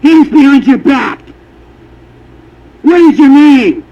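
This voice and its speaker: A man's voice: extremely high pitch, expressive, takes it slowly, dark tone. A man who is a police officer, giving orders in a confrontational, commanding way. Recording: muffled camera recording, faint noise.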